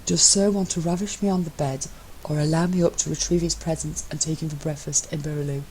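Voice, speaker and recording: A woman voicing a bland, deadpan young man who teases his husband with mock servility, in an audio story. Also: low voice, fast talker, slight background noise, lightly acted, poor audio. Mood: deadpan teasing.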